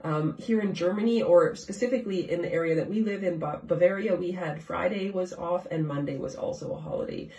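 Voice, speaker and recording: A woman's voice: lowish voice, fairly quick, hardly any pauses, warm tone. A woman from Canada hosting a live nutrition show from her home kitchen, talking casually and asking viewers questions, with a stuffed-up, congested voice. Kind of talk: casual live-stream chat. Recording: echoey room, far from the mic.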